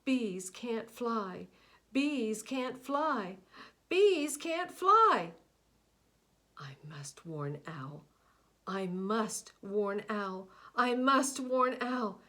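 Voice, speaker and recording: A woman's voice: fairly high pitch, animated, drawn-out words, a bit bright, voice pushed up, exaggerated voice. A woman reading aloud and voicing a small, determined female bee in a storybook. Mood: desperate.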